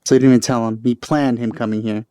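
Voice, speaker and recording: A man's voice: mid-range voice, fairly even intonation, quick pace, dark tone, clear, resonant tone, no room sound. A man giving casual, sarcastic commentary as he plays through a video game. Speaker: gamer doing let's play.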